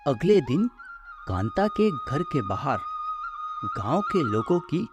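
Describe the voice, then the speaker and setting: A male narrator reads a scripted cartoon moral tale. His pace is slow and his mid-pitched voice rises and falls widely. Loud flute music plays throughout behind him. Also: wildly swooping pitch. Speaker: story narrator.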